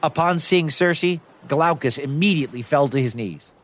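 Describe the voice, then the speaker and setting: A man's voice: fairly high pitch, moderate pace. A man narrating a children's myth as storyteller, in a playful, conversational way. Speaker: storyteller host.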